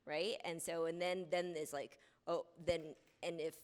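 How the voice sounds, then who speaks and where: A woman's voice: sits low, level tone, a little slow, a little mellow, smooth. A woman who grew up in the U.S., speaking on a college panel in a conversational way and often checking in with "right?". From United States. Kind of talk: panel discussion. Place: college lecture hall.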